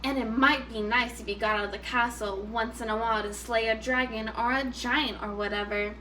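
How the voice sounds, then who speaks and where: A woman's voice: a little high, fairly even intonation, brisk, sharp tone, recorded from a distance, slight background noise. A woman voicing a nagging, exasperated young princess, scolding and complaining, in a children's storybook read-aloud. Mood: prim nagging.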